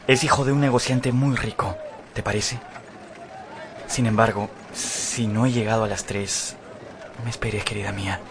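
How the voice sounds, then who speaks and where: An actor in a radio drama playing a young trainee lawyer, a hesitant and evasive lover. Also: male voice, on the low side, noisy background.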